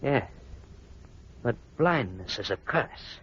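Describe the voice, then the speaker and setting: An actor playing an aging blind husband, devoted yet superstitious and suspicious, in a theatrical, emphatic vintage radio drama style. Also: male voice, mid-range voice, expressive, takes it slowly, fairly bright tone. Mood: restless, polite. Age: older. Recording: muffled, noisy old radio recording.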